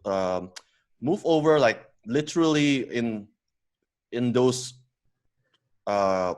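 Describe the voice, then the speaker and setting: Halting man from Indonesia, full of fillers. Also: mid-range voice, lively intonation, clean tone. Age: 30s. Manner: hesitant, many fillers and restarts, laughs easily. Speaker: podcast guest, company co-founder. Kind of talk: casual interview storytelling.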